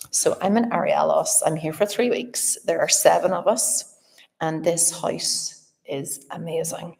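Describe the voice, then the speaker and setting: A Northern Irish woman talks straight to her live viewers in a relaxed, chatty way. Her voice sits low with a gravelly fry. The big old house she records in gives a long, echoing reverb. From Northern Ireland. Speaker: artist livestreaming to viewers. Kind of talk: casual live chat to viewers. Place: large old house, indoors.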